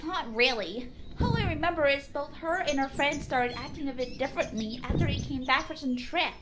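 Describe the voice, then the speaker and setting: A woman's voice: sits high, brisk, close to an everyday voice. A woman voicing a snappy, spoiled young female pony in a fan fiction audio story, curt and indignant. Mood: grudging. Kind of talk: character acting in fan fiction audio reading. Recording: heavy background noise with birdsong.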